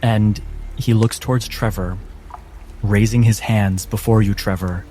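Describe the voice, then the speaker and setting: A man acting as game master, narrating a tabletop game with dramatic, deliberate phrasing. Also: fairly low pitch, steady tone, mellow, slight background noise. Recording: music behind the voice. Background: background music.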